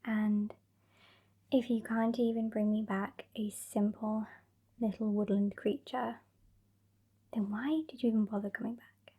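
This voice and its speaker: A woman playing a haughty, impatient British female vampire in an ASMR roleplay, whispering and speaking softly in a breathy, condescending way. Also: mid-range voice, level tone, lo-fi sound. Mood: contemptuous. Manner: slow, condescending, withering. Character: sassy, haughty, impatient female vampire scolding her weakling fledgling.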